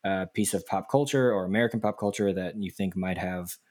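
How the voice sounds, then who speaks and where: A man's voice: low voice, fairly quick, darkish tone, no room sound, clean studio sound. A man from Texas hosting a podcast, asking long, rambling, hesitant questions. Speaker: podcast host. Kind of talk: casual conversational interview.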